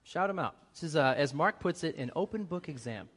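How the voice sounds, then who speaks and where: A young adult man with a faint Boston accent, preaching a lively church sermon and joking with the congregation. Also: fairly high pitch, fairly quick. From New England, US. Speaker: guest preacher.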